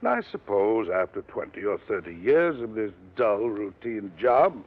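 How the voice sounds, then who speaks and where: A self-important middle-aged businessman in an old radio drama. His voice sits a bit low and he explains things fussily, with evasive hesitations. The 1950s recording sounds dull and muffled. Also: very animated, moderate pace. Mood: insinuating, fussy.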